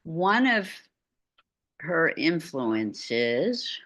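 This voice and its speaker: A woman's voice: sits low, big pitch swings, extremely slow, fairly bright tone. A middle-aged woman giving an art lecture over a video call from a room at home, with chatty asides.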